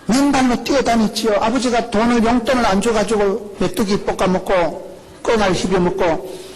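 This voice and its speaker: A middle-aged male pastor with a Gyeongsang accent, preaching a lively, joking sermon full of rhetorical questions. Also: high-pitched, moderate pace, slight background noise, poor audio. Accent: Gyeongsang Korean. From rural South Korea. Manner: animated, jokey preaching with rhetorical questions and laughs.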